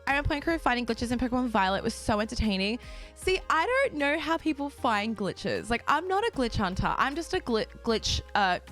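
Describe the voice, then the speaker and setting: A young woman streaming a game and chatting casually with her viewers, bubbly and quick to laugh, her voice a little raspy from just waking up. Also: fairly high pitch, very animated, fairly bright tone, faint music behind. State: slightly raspy, just woken up. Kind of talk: casual chat with a live stream audience.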